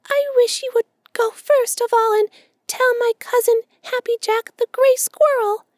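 A woman's voice: extremely high pitch, steady tone, unhurried, a little mellow, no room sound, clean studio sound, pitched above the performer's own voice. A woman voicing a boastful, jittery young male red squirrel who speaks in a hesitating, stammering way. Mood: nervous. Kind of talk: storybook character voice.

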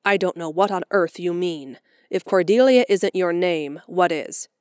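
A woman voicing a stern, practical middle-aged spinster who talks briskly and curtly in a storybook reading. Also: lowish voice, darkish tone, smooth, no echo, clean studio sound, close to an everyday voice. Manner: brisk, curt, no-nonsense.

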